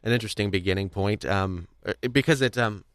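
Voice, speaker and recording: A man's voice: on the low side, brisk, fairly bright tone, smooth, no echo, studio quality. A man hosting a podcast in casual conversation, speaking in a hesitant, rambling, stop-start way. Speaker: podcast host. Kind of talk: casual discussion of audio drama.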